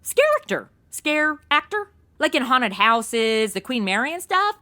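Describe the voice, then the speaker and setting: A woman's voice: high-pitched, sing-song, measured pace, bright, forceful tone, heavily acted. A woman playing a flippant, ditzy modern young woman in a comedy audio drama, sarcastic and full of exclamations and slang.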